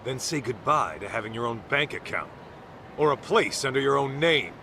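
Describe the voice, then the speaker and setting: A man's voice: medium pitch, expressive, moderate pace, background noise, lightly acted. An actor playing a streetwise middle-aged man with an American accent in a game dub, blunt, slangy and sardonic. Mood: scolding, sardonic. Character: gruff streetwise middle-aged man, brash protective mentor. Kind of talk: video game dub acting.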